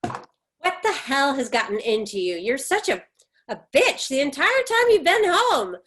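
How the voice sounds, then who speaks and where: Casting: actress as middle-aged mother, fairly high voice, bitter and defensive, reproachful retorts in a dramatic play reading. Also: very animated, a little slow, fairly bright tone, exaggerated voice. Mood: angry, indignant. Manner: emphatic, reproachful, clipped retorts.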